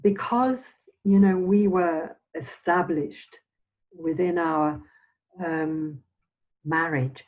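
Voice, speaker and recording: Older woman, low voice, phone-like sound. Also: fairly even intonation. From Scotland. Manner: halting, meandering delivery with trailing phrases. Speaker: interviewed Spiritualist minister and medium. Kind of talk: reflective, anecdotal chat. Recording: dull, phone-like audio.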